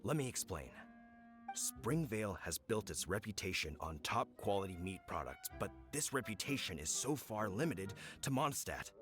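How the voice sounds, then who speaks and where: An earnest, brash village merchant from a video game. His fairly low voice swings widely as he makes his emphatic case, with music clearly playing behind him. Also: very animated, normal speed, fairly bright tone. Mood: eager, persuasive.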